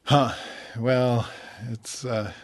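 A man, a concert pianist, answering interview questions thoughtfully and pausing often to find his words. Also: medium pitch, fairly even intonation, dry recording. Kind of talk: relaxed radio interview.

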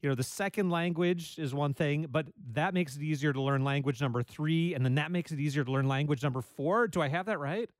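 A man's voice: on the high side, a little fast, few pauses, no room sound. Man hosting call-in radio, dry studio sound. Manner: friendly, conversational. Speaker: radio talk show host.

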